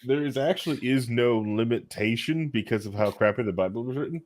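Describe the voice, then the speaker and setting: A man's voice: medium pitch, brisk, darkish tone, no echo. A man co-hosting a podcast with casual joking banter, playful and mock-serious in manner. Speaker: podcast co-host.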